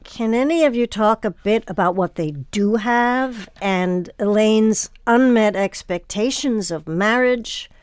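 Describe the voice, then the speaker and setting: A woman hosting a panel interview, putting prepared questions with measured, deliberate phrasing. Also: medium pitch, smooth, dry recording. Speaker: host moderating an actors' panel.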